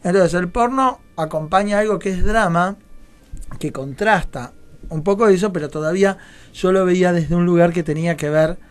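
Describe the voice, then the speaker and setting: A man's voice: high voice, moderate pace. A man with a Rioplatense Argentine accent, talking reflectively as an interview guest and circling through explanations with rhetorical questions. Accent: Rioplatense Argentine Spanish. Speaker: guest playwright.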